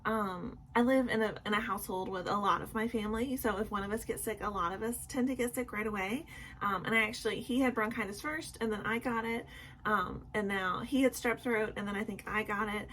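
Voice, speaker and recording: A woman's voice: medium pitch, fairly quick, fairly bright tone, slight background noise, poor audio. A young woman with a sick, sore throat chatting casually in a nightly vlog from home, apologetic and full of ums and likes. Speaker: vlogger.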